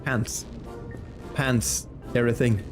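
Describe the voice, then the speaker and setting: A man's voice: medium pitch, steady tone, takes it slowly, over music. A man streaming a game with casual, muttered commentary and reactions.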